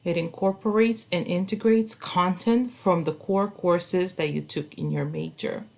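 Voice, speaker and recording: Woman, 30s, lower register; earnest, formal college instructor giving a welcome talk. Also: fairly even intonation, measured pace, creaky, a little mellow. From Romania. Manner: earnest, structured and a little formal, lifting the start of each new point. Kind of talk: course welcome video.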